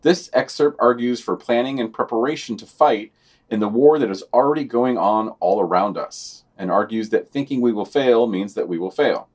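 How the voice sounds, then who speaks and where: A man's voice: mid-range voice, normal speed, a little mellow. A man co-hosting a podcast, reading out short news summaries with dry, wry comments. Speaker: podcast co-host.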